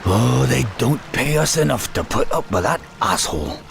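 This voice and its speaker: A man playing a put-upon, underpaid human foot soldier in a fantasy game, with a creaky voice. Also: medium pitch, lively intonation, moderate pace, a little hiss or hum. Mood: grumbling.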